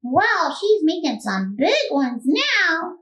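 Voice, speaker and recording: Curious little girl puppet, animated and unhurried, sweet wondering tone, heard a bit far from the mic. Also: very high voice, pitched above the performer's own voice, exaggerated voice. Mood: amazed. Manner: wondering, childlike. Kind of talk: children's puppet show dialogue.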